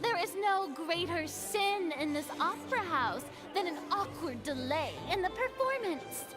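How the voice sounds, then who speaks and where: A woman's voice: extremely high pitch, expressive, unhurried. A woman voicing a haughty, theatrical young water goddess in a video game, with grandiose courtroom oratory over music. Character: theatrical, haughty young female hydro archon presiding over a trial. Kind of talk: dramatic video game voice acting.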